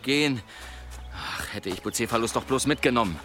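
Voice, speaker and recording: A man's voice: medium pitch, animated, a little fast, pushed and bright, soft background music. A man playing a boastful, pious and grumbling one-armed demon hunter in an audio drama, with a theatrical, wry delivery.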